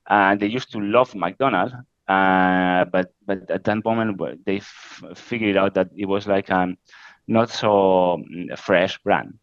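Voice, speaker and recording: Spanish-accented man, halting and low. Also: dry recording, highs cut off. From Spain. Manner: halting, frequent "uh" fillers, non-native grammar. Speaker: interview guest, creative director. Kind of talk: casual interview answers.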